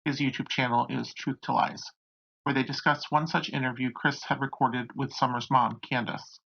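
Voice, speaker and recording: Creaky, husky true crime narrator. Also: mid-range voice, flat delivery, normal speed. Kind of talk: scripted narration. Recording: dull, lo-fi home recording.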